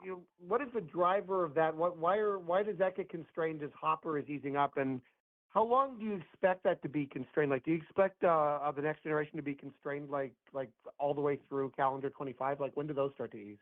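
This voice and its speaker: A man asking questions as a financial analyst on an earnings call, halting and rephrasing as he goes. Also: on the high side. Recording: thin phone line, radio-like.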